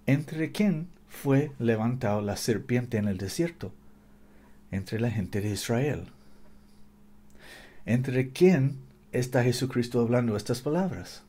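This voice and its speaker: Man preaching, second-language speaker, mellow husky voice, webcam in living room. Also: mid-range voice. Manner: earnest, halting, with frequent repeats and self-corrections. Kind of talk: bible teaching sermon to webcam. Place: living room with guitars on the wall. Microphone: webcam at desk.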